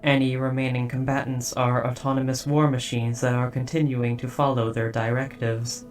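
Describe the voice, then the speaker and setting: A performer voicing a dutiful robot soldier in an audio drama, speaking in a formal, precise, measured and softly hesitant way. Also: mid-range voice, little pitch movement, distant mic, lightly acted. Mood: calm, formal. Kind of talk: scripted roleplay audio drama.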